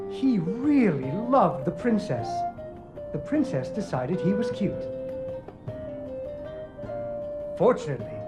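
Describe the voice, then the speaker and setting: A man playing a playful, doting father who narrates a fairy tale with wry expression in a video game. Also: high-pitched. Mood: playful, storytelling. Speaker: storyteller. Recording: music under the voice. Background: prominent music.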